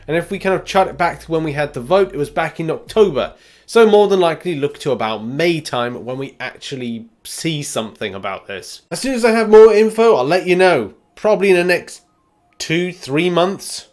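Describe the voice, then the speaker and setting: Higher-pitched man presenting gaming news. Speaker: host of a gaming news video. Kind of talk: casual gaming news commentary.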